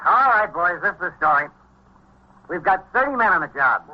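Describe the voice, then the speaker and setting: An actor playing a collegial American police chief of detectives in a vintage radio drama, brisk and plainspoken with authority. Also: male voice, high voice, very animated. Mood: firm, official. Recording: dull, noisy vintage radio recording.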